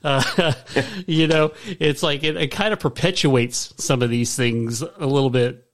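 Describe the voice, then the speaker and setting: A man's voice: on the high side, a little slow. A man hosting a casual interview show, agreeable and conversational as he responds to his guest. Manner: agreeable, listening with short affirmations.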